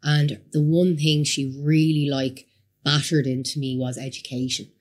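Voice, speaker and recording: A woman's voice: sits low, little pitch movement, normal speed, a little mellow. Woman, Dublin accent, 30s, candid storyteller, casual podcast guest. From Somalia. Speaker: guest entrepreneur. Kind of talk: casual interview conversation.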